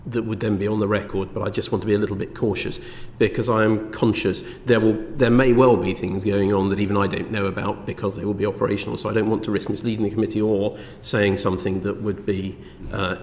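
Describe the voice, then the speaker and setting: A fairly low-voiced man gives formal evidence to a committee, choosing his words cautiously and deferring politely. His voice echoes in a reverberant hall, with faint noise underneath. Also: little pitch movement, fast, few pauses, a little mellow. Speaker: government minister giving evidence. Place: parliamentary committee room.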